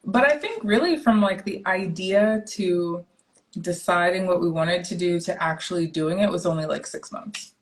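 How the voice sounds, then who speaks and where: A woman's voice: on the low side, moderate pace. A young American woman talking casually as a guest in a conversation. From United States. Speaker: guest, traveling parent. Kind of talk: casual chat.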